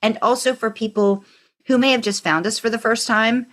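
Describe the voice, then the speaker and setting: A woman's voice: fairly high pitch, fairly even intonation, moderate pace. An American woman co-hosting a podcast, talking in a casual, conversational way. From United States. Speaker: podcast co-host. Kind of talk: casual fandom podcast chat.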